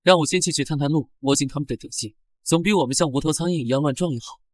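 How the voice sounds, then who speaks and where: A man playing a sly, teasing fox spirit spy with a confident, smooth-tongued manner in an animated drama. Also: fairly high pitch, quick pace, no room sound, lightly acted. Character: sly, teasing nine-tailed fox clan spy, male consort.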